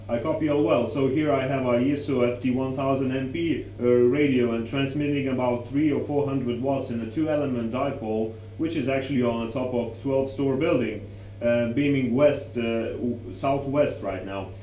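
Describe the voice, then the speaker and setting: A man's voice: medium pitch, fairly even intonation, moderate pace, dark tone. A young adult man, demonstrating and explaining amateur radio calls as presenter and operator. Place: small indoor radio room. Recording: roomy echo, faint hum and noise.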